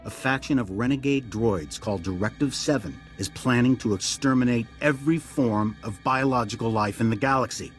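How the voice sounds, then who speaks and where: An actor playing a grave, urgent military intelligence director in a video game, briefing in a clipped, earnest way. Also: male voice, mid-range voice, fairly quick, few pauses, natural voice. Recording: dramatic music underneath.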